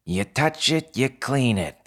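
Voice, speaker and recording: A man's voice: mid-range voice, very animated, slow pace, fairly bright tone, voice pushed up. A man voicing a bossy female fox store manager in a story, with a barking, clipped, blunt way of talking. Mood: gruff challenge.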